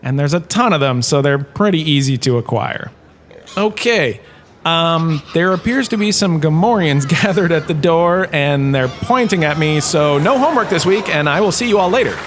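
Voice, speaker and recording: An actor playing a washed-up former star turned lecturer, giving a breezy, joking lecture in a comic audio drama. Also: male voice, a little high, animated, normal speed, a little hiss or hum, close to an everyday voice. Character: washed-up former star, now a lecturer, chatty and self-important.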